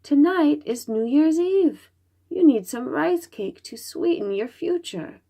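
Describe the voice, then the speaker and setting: A woman's voice: sits high, expressive, slow pace, gravelly, warm tone, voice pushed up, full character voice. A woman voicing a clever, bold little girl in a children's storybook, calling out commands. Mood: confident. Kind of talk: children's storybook read-aloud.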